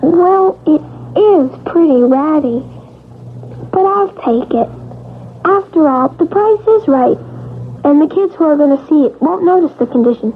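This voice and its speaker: An actress playing a poor, earnest, stubborn little child in a plaintive, insistent way, in old-time radio drama style. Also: female voice, high-pitched, animated, unhurried. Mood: matter-of-fact. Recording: muffled, noisy 1970s radio recording.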